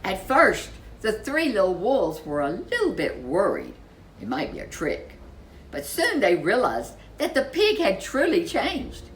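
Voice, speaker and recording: A woman reading a children's storybook aloud as a storyteller, with expressive, deliberate delivery and dramatic emphasis. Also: medium pitch, slight background noise.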